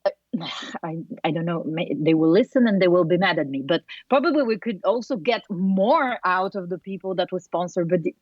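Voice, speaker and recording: A woman with a strong Italian accent, speaking English as her second language in a relaxed interview chat. Her voice sits low for a woman and sounds dry and a little muffled. She pauses often with fillers and restarts her sentences. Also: no room sound. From Italy. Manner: thoughtful, with many fillers and restarts. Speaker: podcast guest.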